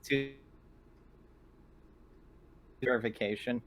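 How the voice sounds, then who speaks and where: A man's voice: mid-range voice, fairly even intonation, fairly quick, fairly bright tone. A man playing a tabletop roleplaying game, chatting casually and searching for his words.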